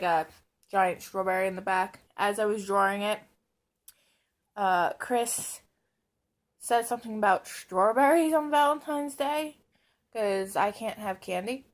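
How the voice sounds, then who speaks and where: A hoarse woman chatting casually to camera in a rambling, self-mocking way. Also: mid-range voice. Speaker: vlogger. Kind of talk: casual vlog chat. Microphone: camera on a stand.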